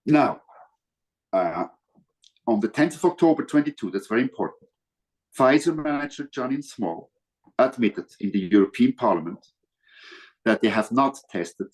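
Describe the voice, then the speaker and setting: An older man with a Swiss accent speaks in a lowish voice, formal and earnest. He answers as an interview guest over a video call, pausing often on fillers. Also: animated, a little mellow, rough recording. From Switzerland.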